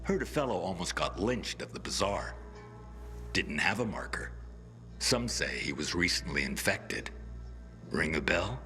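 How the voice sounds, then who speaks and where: An actor playing a sly, menacing gang thug in a video game, insinuating and taunting. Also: male voice, deep voice, sing-song, a little slow, pauses often, pushed and bright, soft background music, exaggerated voice.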